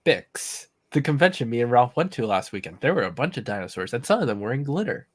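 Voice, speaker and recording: Male, average pitch, casual mock-serious banter, very little room sound. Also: sing-song, quick pace, husky, no room sound.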